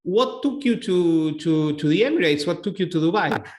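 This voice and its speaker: A man with a Latin American Spanish accent and a somewhat high voice hosts an interview podcast. He stumbles a little and repeats words as he poses questions. The room adds a noticeable echo. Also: expressive, normal speed, a little mellow. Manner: hesitant, repeats words when switching to English. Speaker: podcast host.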